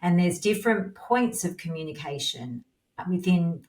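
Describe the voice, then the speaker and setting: A woman's voice: fairly low pitch, lively intonation, normal speed, a little mellow. A woman hosting a podcast, chatting casually as she interviews, recorded in an echoey room. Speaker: podcast host. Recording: echoey, reverberant room sound.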